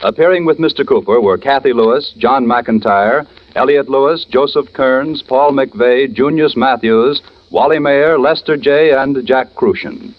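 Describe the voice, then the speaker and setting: A man's voice: medium pitch, a little slow. An American man reading formally as a 1940s network radio announcer. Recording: dull old radio recording, music behind.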